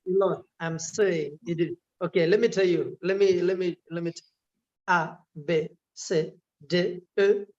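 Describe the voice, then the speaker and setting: A man's voice: high-pitched, steady tone, a little slow, a little mellow, highs cut off. A man, chatting casually and hesitantly with lots of fillers and restarts. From Kerala, India. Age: 20s. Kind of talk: casual chat.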